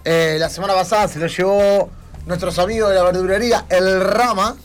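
A man's voice: high-pitched, steady tone, a little fast. A man with an Argentine Rioplatense accent, hosting a radio show in a casual live chat style. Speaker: radio host. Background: music.

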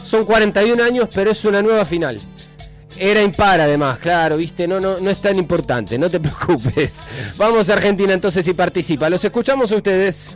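A man with a high-pitched voice and a Rioplatense accent hosts a live call-in radio show, playful and excitable. Faint music plays behind him. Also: brisk. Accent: Rioplatense Spanish. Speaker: radio host.

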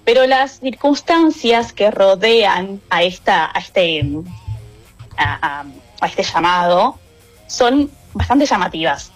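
A woman with a Rioplatense Spanish accent, a radio music columnist telling a story in casual chat, animated and quick to laugh. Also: mid-range voice, rough recording. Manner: lively, animated storyteller who laughs often.

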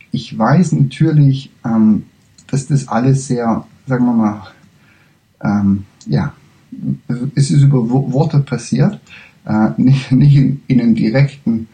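A man giving a freely spoken spiritual lecture, haltingly, often searching for words and correcting himself. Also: mid-range voice, dark tone, poor audio.

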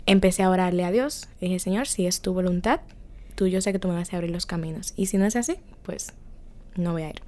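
A young Dominican woman guests on a radio show in the studio. She speaks with a Dominican accent and tells her story in a lively, animated way. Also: lowish voice, brisk. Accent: Dominican Spanish. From Dominican Republic. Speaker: student guest. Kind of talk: radio interview.

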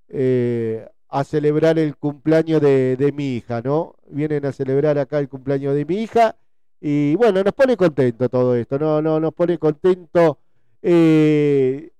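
A man's voice: fairly high pitch, dark tone, smooth, dry recording. A man in his forties with a Rioplatense Spanish accent, chatting casually as a host with his audience in a repetitive, conversational way. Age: 40s. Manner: repetitive, conversational, often seeking agreement with tag questions. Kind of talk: casual live chat, reading listener messages.

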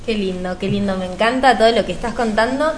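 A woman's voice: mid-range voice, quick pace, slight background noise. A woman with an Argentine accent co-hosting a radio show and interviewing a guest with gushing interjections. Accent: Argentine Spanish. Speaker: radio co-host.

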